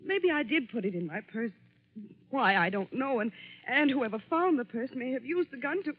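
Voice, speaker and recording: A woman playing an evasive, anxious wife in a 1940s radio drama, speaking in a flustered, halting way. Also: on the high side, animated. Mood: distressed. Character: evasive, anxious wife under police questioning. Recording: thin, tinny 1940s radio recording.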